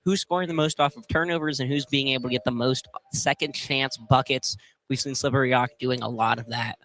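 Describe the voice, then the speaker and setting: A man's voice: mid-range voice, steady tone, fairly quick, a bit bright, low-quality recording. A young man giving live basketball commentary and analysis as a broadcast host. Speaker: sports commentator. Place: indoor basketball field house.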